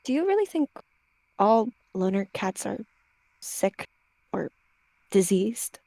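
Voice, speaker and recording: A woman's voice: medium pitch, big pitch swings, takes it slowly, vocal fry, mellow, no room sound, heavily acted. A woman voicing an independent, agreeable she-cat in a game roleplay, with a casual manner. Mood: questioning.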